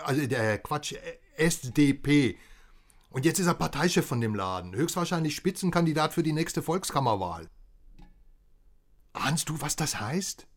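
Excitable, swooping, high-pitched pushy newsman. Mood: excited. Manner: emphatic, swinging from confiding to exclaiming. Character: excitable, pushy West German journalist, the detective's friend. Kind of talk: radio crime drama dialogue.

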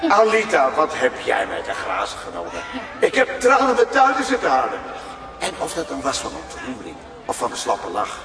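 A man's voice: on the high side, recorded from a distance. A man playing a theatrical, effusive jury chairman in a radio drama, speaking to a big noisy audience. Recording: echoey noisy hall from afar, loud crowd.

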